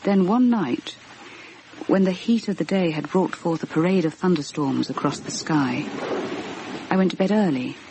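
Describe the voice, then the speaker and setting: Actress playing troubled woman, earnest, intense, literary ghost-story drama, lowish pitch, faint noise behind. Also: moderate pace, mellow, natural voice, poor audio. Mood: uneasy recollection. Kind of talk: dramatic first-person narration and radio acting.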